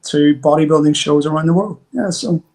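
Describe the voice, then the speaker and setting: A man's voice: on the high side, a little fast, a little mellow. A man in his forties from Derry with a Northern Irish accent, a guest telling his story in a rambling way, full of fillers and restarts. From Derry, Northern Ireland. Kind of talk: conversational interview.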